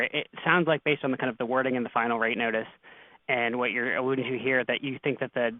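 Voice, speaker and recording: A man's voice: medium pitch, dry recording. A man working as a financial analyst, asking questions on an earnings call. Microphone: phone. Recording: thin, narrow phone-line sound.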